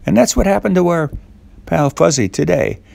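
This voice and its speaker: A man telling a children's story off the cuff, in a halting way with little questions to the listener. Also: fairly high pitch, very animated, gravelly, darkish tone, no room sound.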